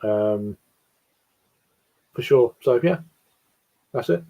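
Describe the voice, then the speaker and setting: A man's voice: mid-range voice, lively intonation, extremely slow, gravelly, mellow, a little hiss or hum. A man reviewing beer in a casual chat, rambling with lots of restarts and fillers.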